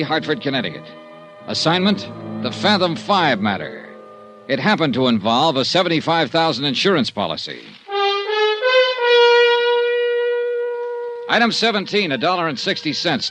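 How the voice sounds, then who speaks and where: A man's voice: on the high side, a bit bright. An actor playing a dry, wry male insurance investigator in a 1950s radio drama, narrating in a clipped report style. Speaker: narrator and lead.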